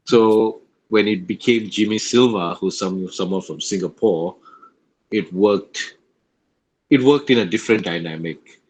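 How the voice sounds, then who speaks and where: A man with a deep voice talks casually as an interview guest. He is reflective, and he repeats phrases to drive a point home. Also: measured pace, husky, rough recording. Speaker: actor, podcast guest.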